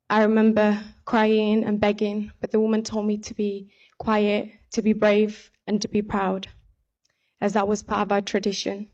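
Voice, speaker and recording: A young woman from Somalia giving an earnest, measured speech as a pageant contestant from a podium microphone in a large hall. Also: mid-range voice, darkish tone, dull audio. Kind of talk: prepared stage speech. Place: large indoor hall on stage. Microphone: podium microphone on stand.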